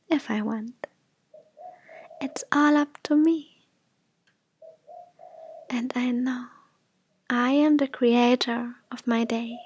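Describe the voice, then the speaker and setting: A woman guiding an affirmation meditation in soft, gentle, breathy phrases that often drop toward a whisper. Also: high voice, no room sound, studio quality. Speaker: meditation guide.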